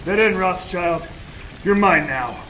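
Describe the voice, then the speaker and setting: A man plays a hardboiled noir detective with a clipped, dramatic delivery. His voice is fairly high for a man, set over clearly noisy rain ambience. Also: slow, bright, forceful tone, full character voice. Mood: threatening, urgent. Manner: terse, dramatic. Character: hardboiled male private eye narrating his case. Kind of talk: scripted noir narration and acting.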